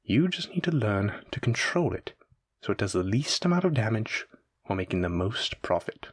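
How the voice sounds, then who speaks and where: Male mafia boss villain, played by an actor, audio drama: lowish voice, dry sound, theatrical, condescending, teasing menace. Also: very animated, normal speed, husky, dry recording. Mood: smug, calm menace. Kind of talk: scripted roleplay audio drama addressed to listener.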